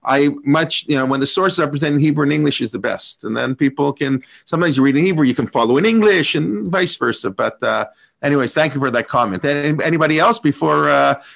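Gravelly-voiced man hosting a class. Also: fairly high pitch, fast talker, a bit bright. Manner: conversational with many fillers. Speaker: host of a class series.

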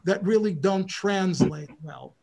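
A man with a notably high voice lectures in an informal class discussion. He is animated and often stumbles over the first words before his thought flows. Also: slow, vocal fry. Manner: animated, repeats words when starting. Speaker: guest rabbi lecturer.

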